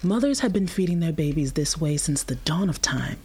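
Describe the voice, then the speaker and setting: A woman acts a weary but patient mother in an audio drama. The voice is husky and slightly rough-edged. She explains things plainly, with a gentle firmness. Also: deep voice, animated, normal speed, exaggerated voice. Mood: patient, explaining. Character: patient, tired human mother of a nursing infant.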